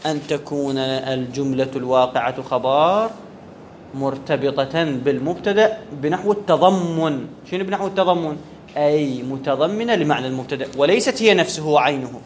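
A man with a high voice gives a grammar lesson. He keeps asking the class questions, answers them himself and repeats his key terms. A faint hiss runs under the recording. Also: moderate pace. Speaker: grammar teacher. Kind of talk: classroom lecture on Arabic grammar.

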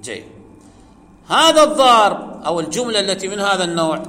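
A man teaching a grammar class, explaining step by step, asking his students questions, and chanting quoted verses slowly. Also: high-pitched, sing-song, pushed and bright. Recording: echoey hall with faint ambience.

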